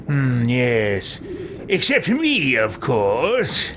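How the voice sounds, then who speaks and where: A man's voice: medium pitch, huge pitch swings, extremely slow, a bit bright, slight background noise, heavily acted. A man voicing a callous, amused, self-absorbed evil sorcerer in a video game roleplay, with a theatrical, dismissive drawl. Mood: smugly intrigued.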